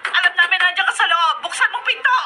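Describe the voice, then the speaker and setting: A forceful, indignant Filipino woman confronts people in a radio drama. Her voice is high and exclamatory, on a clearly noisy recording. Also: fast talker. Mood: demanding.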